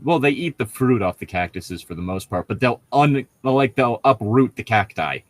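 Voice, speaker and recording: A man's voice: medium pitch, lively intonation, moderate pace, no room sound. A man in casual conversation as a podcast co-host, swearing freely and joking crudely. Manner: crude joking, profane, laughs often. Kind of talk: casual chat.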